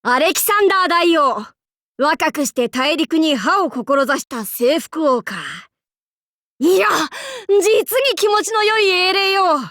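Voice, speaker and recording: A woman's voice: very high-pitched, a bit bright, no room sound, clean studio sound, exaggerated voice. A woman voicing a bold, androgynous young demon-king warlord in an anime game, with boastful, archaic lordly speech and playful swagger. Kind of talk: anime game character voice lines.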